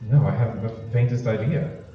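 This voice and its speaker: A man's voice: mid-range voice, a little fast, soft background music, lightly acted. A man playing a nervous, doubtful sidekick in an old-time radio drama, hesitant and stammering. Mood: doubtful, worried. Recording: echoey hall, distant, dull, loud noise.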